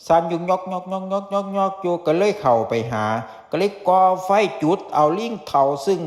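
A man's voice: high-pitched, unhurried, darkish tone. A man with an Isan accent narrating a folktale, folksy and speaking straight to his listeners. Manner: folksy, addressing listeners directly. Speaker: folktale storyteller. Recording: music behind the voice.

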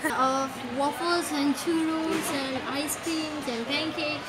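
A woman's voice: high voice, steady tone, slow pace. A young woman from Malaysia chatting casually on a phone camera in a mall café, giggling and mixing in Malay words. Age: young adult. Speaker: vlogger. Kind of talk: casual food review chat. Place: busy mall café, indoors. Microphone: handheld phone camera. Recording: loud crowd noise behind.

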